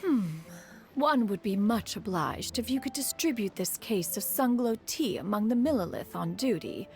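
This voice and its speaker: A woman's voice: fairly high pitch, expressive, normal speed, a little mellow, soft background music. A woman playing a proud, ancient female immortal in a video game, speaking in a lofty, archaic, formal manner. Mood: dignified, haughty. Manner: haughty, archaic, formal, calls herself "one".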